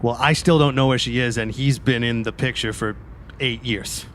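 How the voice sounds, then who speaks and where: A man's voice: medium pitch, steady tone, normal speed, a bit bright, close to an everyday voice. A man acts out a sullen young man with a grudge in a tabletop game. He talks bluntly and swears freely, over slight background noise. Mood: bitter, brooding. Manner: gruff, blunt, profane.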